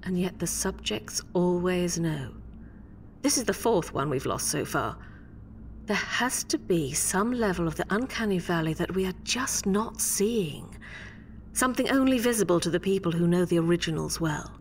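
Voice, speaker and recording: A clinical female official in a radio drama, frustrated and matter-of-fact. The voice is on the low side, with clear noise behind it. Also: animated, normal speed, distant mic. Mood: puzzled, frustrated.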